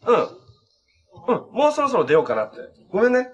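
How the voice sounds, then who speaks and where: An actor playing a cheerful, earnest, easygoing young hero in a TV drama, talking in a casual, boyish way. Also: male voice, high voice, wildly swooping pitch, moderate pace, muffled recording. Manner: casual, friendly, boyish phrasing. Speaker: actor in a TV superhero series. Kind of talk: naturalistic TV drama acting.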